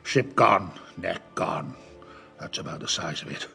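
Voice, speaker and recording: An actor playing a wily, domineering middle-aged pirate captain with a gravelly voice, theatrical and full of rustic sailor talk, in an audio drama. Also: male voice, deep voice, expressive, unhurried, bright, forceful tone, faint music behind, heavily acted. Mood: low, scheming.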